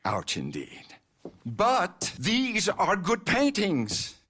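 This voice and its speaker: An actor playing a sly, pompous older French politician in a video game, with a French accent and a drily ironic, theatrical delivery. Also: male voice, sits high, wildly swooping pitch, drawn-out words, a bit bright, husky, heavily acted. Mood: wry persuasion. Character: wry, pragmatic older French male politician, grandiose and sly. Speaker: mayor. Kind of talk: video game cutscene acting.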